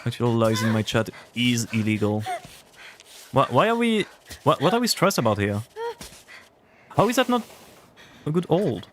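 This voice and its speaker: A man's voice: medium pitch, lively intonation, moderate pace, long pauses, a bit bright, slight background noise. A young man with a French accent, chatting casually with his chat while playing a game on a livestream. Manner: chatty, rambling, answers chat. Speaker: streamer.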